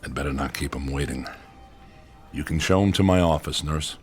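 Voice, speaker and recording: An actor playing a cautious, authoritative hospital doctor in a radio drama, formal and measured in manner. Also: male voice, a bass voice, soft background music. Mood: formal, deferential.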